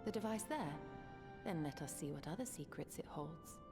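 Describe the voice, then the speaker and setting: A woman voicing a composed, inquisitive scholar in formal, deliberate fantasy game dialogue. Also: low-pitched, expressive, music in the background. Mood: composed, curious. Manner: deliberate, serious.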